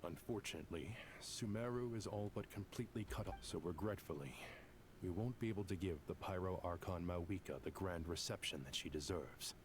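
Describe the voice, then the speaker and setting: A man playing a composed, formal scholar who leads a council in a video game, speaking in a measured way. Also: low voice, background noise, lightly acted.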